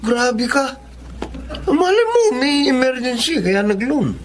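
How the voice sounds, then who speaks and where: An actress playing a frank, gently reproachful young Filipina friend in a radio drama. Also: female voice, high-pitched, very animated, slow pace, slight background noise, exaggerated voice.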